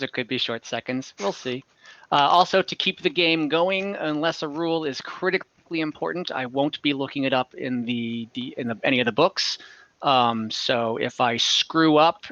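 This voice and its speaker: Male game master, bright and forceful, thoughtful pauses, close dry video-call sound. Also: mid-range voice, no room sound. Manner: halting, pausing to think as he describes scenes. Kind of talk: narrating an online tabletop game. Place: indoor room on a group video call. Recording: dull video-call audio.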